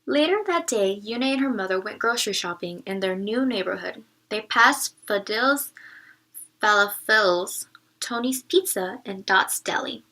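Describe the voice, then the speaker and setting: A woman teacher reading a picture book aloud, narrating and pausing to explain it to young listeners. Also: medium pitch, lively intonation, pushed and bright.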